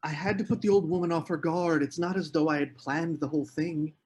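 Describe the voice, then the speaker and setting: A man's voice: fairly high pitch, fairly even intonation, brisk, a little mellow, lightly acted. A man at a screenplay table read playing a scheming, charming literary man with formal, earnest phrasing. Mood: defensive.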